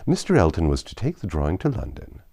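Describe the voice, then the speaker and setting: A man narrating a classic novel aloud in an expressive storytelling style. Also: sits low, quick pace, breathy edge. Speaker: audiobook narrator. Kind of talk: expressive scripted literary narration.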